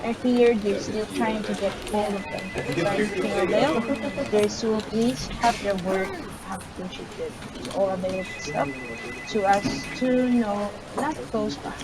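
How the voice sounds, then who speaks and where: Halting woman reader over background hubbub. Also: mid-range voice, steady tone, over a noisy crowd, rough recording. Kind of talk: reading a visual novel's story narration aloud in first person.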